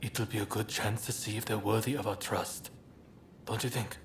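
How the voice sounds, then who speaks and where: An actor playing a composed, diplomatic young samurai leader in a video game cutscene, speaking in a measured, polite, thoughtful way. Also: male voice, fairly low pitch, fairly even intonation, gravelly, slight background noise. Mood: calm, persuasive.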